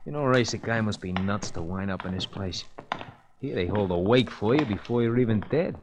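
An actor playing a wisecracking, nosy sidekick with blunt, slangy talk in a 1940s radio drama. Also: male voice, on the low side, a little fast, natural voice. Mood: curious, slangy. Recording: dull, noisy 1940s radio recording.

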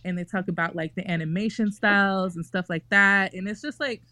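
A woman's voice: medium pitch, fairly even intonation, a little fast, bright, forceful tone, no echo. A young woman talking casually in a group podcast, chatty and full of fillers and restarts.

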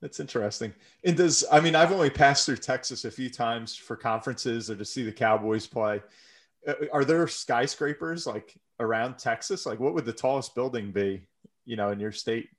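A man with a medium-pitched voice chats as a podcast interviewer. His phrasing is informal and agreeable, feeling its way through each thought. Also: normal speed. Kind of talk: casual chat.

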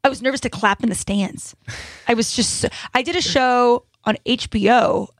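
A woman's voice: on the high side, moderate pace, no room sound. A woman in her thirties hosting a podcast, chatting casually and laughing with slangy asides. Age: 30s. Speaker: podcast host. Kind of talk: casual chat.

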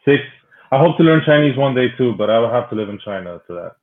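A man's voice: medium pitch, lively intonation, fast, a crowd in the background. A man whose first language is Spanish, talking in an easygoing way as a guest in a casual podcast interview. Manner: talkative, easygoing. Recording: tinny phone-like line.

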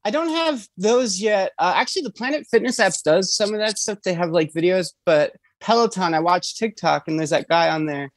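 A woman's voice: on the low side, animated, fairly quick, hardly any pauses, no room sound. A woman in her thirties asking for advice, hesitant and self-deprecating, laughing often as she talks. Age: 30s. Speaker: caller seeking advice. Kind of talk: casual chat.

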